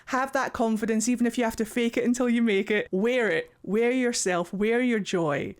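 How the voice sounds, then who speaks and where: A man with a Scottish accent, hosting casual reaction commentary from his warm home office, animated and encouraging, sometimes swearing in passing. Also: very high-pitched, normal speed. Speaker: YouTube reaction host.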